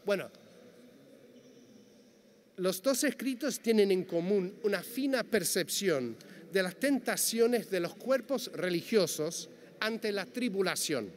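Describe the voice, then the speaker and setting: A man giving a prepared, measured talk as a panel speaker, reading carefully and quoting passages, speaking Spanish as a to a conference audience. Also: high voice, expressive. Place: large conference hall. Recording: long hall reverb, faint background noise.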